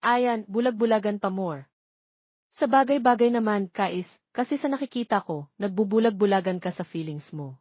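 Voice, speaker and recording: A woman's voice: mid-range voice, moderate pace, husky, lightly acted. A woman voicing a cheeky young playboy who teases his friend in playful banter, in a romance story. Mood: teasing. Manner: teasing, playful Taglish banter. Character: teasing playboy young man, rich man's cheeky friend. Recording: muffled lo-fi, roomy echo.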